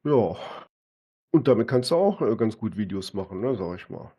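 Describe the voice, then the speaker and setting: A man with a lowish, dark and relaxed voice chats casually during a game. He talks in a conversational way, often checking in with little tag questions at the end of his phrases. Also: normal speed, slightly rough. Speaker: gaming partner on a live stream. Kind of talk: casual chat while gaming.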